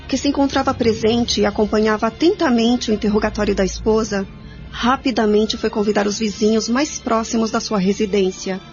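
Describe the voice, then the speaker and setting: Narradora brasileira com som abafado. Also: female voice, medium pitch. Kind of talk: scripted radio drama narration. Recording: muffled radio recording, faint music.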